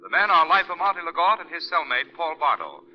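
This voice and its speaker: An actor playing a formal radio newsreader delivering a bulletin in an old-time radio drama. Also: male voice, on the high side, sing-song, fast talker. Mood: urgent, formal. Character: brisk, formal radio newsreader announcing a prison escape. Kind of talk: 1940s-style radio news bulletin acting. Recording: muffled old radio, faint hum.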